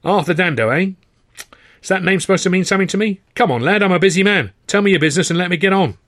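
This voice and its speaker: A man's voice: high-pitched, quick pace, a bit bright, no room sound, clean studio sound, voice pushed up. A man voicing a harassed, irritable middle-aged office manager in an audiobook, brusque and sarcastic. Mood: impatient. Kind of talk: audiobook character voice.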